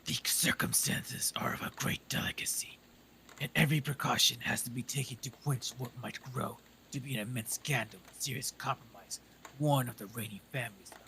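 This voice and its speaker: A man voicing a haughty, secretive male nobleman with a deep, harsh German accent, in an audiobook reading. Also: vocal fry, slight background noise, poor audio. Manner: low hushed delivery, halting.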